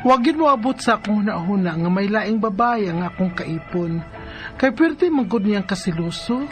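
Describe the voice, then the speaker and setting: A woman's voice: mid-range voice, expressive, moderate pace, music in the background, lightly acted. Actress as troubled Bisaya mother in radio drama. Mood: sorrowful narrating. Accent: Philippine, Bisaya. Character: troubled 33-year-old mother of three, hurt by jealous partner.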